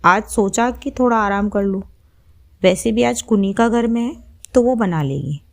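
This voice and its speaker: Gentle retired mother played by a female narrator, soft-spoken, composed, quietly firm, for storybook narration. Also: mid-range voice, a little slow, creaky. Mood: calm.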